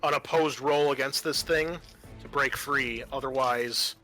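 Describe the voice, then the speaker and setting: Bright-voiced man running a tabletop game. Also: mid-range voice, steady tone, measured pace, rough recording. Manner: casual, explanatory, thinking aloud. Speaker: game master. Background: background music.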